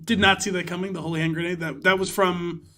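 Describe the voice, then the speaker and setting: A man with a high-pitched, bright, forward voice co-hosts a casual film podcast. He talks informally and likes to act out short quoted lines mid-sentence. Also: flat delivery, quick pace. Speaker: podcast co-host. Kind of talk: casual movie discussion chat.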